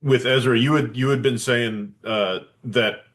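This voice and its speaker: Mid-pitched guy chatting, kinda halting, restarts a lot. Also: steady tone, a bit bright. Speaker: tasting participant. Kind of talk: casual chat.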